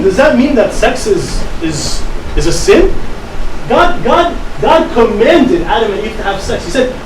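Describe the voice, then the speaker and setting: A man born and raised in the US gives a casual talk to a live audience, speaking conversationally and tagging his lines with a rhetorical "right?". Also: very high voice. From United States. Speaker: youth speaker. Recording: echoey room recording from a distance with air-conditioning hum.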